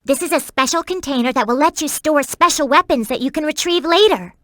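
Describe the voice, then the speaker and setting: A woman's voice: high voice, brisk, fairly bright tone, dry recording, clean studio sound. A woman voicing a perky, earnest and bossy young girl companion in a video game, with emphatic delivery. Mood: helpful, curious. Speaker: in-game hint-giving companion.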